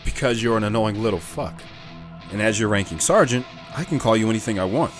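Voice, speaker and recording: Man acting a gruff, low-voiced sergeant. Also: brisk, soft background music, close to an everyday voice. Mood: mocking. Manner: curt, mocking. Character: gruff, sardonic older male army sergeant. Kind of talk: audio drama dialogue.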